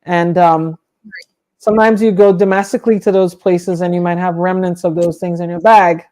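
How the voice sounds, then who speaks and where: A woman's voice: on the low side, level tone, moderate pace, dark tone. A woman co-hosting a livestream, chatting casually about her hobby in an informal, conversational way. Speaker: livestream co-host.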